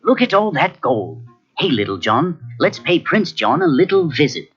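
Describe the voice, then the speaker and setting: A daring, friendly young outlaw hero played by a man speaks with confidence and a very animated, mid-pitched voice. The storybook cassette sounds slightly dull, with faint music. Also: normal speed. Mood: mischievous. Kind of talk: children's cartoon storybook acting.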